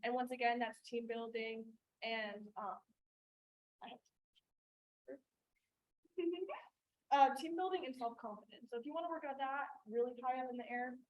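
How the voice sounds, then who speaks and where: A woman's voice: on the high side, takes it slowly, pauses often. A young woman on a student club board, plainly announcing a sign-up to members. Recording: dull mic sound.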